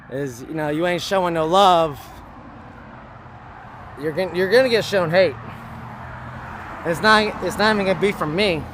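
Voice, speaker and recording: A man with a hoarse voice, talking to camera in a rambling, repetitive, preachy vlog monologue. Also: a little high, a bit bright, a little hiss or hum. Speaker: vlogger.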